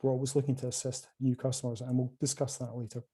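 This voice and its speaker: A man's voice: medium pitch, fast, breathy edge. A man with a Scottish accent giving a conference presentation over a video call, with many filler sounds and restarts. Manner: hesitant, many filler sounds, lively rises and drops in level. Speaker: conference speaker from a quantum computing company.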